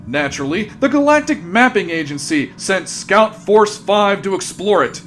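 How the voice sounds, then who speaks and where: A man's voice: high voice, animated, normal speed, a bit bright, slight background noise. A man narrating a scripted sci-fi comedy in a theatrical, tongue-in-cheek way. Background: faint music.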